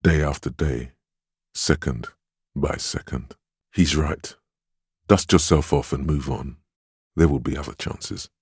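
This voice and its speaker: Very deep, gravelly voice of willpower. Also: male voice, slow, long pauses, darkish tone, dry recording, clean studio sound, exaggerated voice. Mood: solemn encouragement. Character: inner voice of willpower, a stoic, protective, encouraging male conscience. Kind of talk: dramatic game voice acting.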